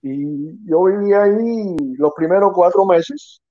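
A man's voice: high voice, slow pace, no echo. A middle-aged man from Quebec raised in Miami, a child rescue investigator talking casually as an interview guest, rambling and mixing in English words. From Quebec, Canada, raised in Miami. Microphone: phone or call line. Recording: dull lo-fi sound.

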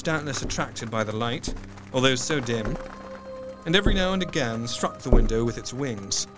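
Audio drama narrator: dutiful, downcast male doctor, played by an actor, average pitch, formal measured diary reading, clear musical bed. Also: lightly acted. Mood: uneasy.

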